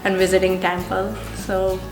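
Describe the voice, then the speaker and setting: A woman's voice: mid-range voice, completely flat delivery, moderate pace. Indian-accented woman, echoey room with music. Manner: hesitant, many fillers and restarts. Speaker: interviewee, physical therapist. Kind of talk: conversational interview.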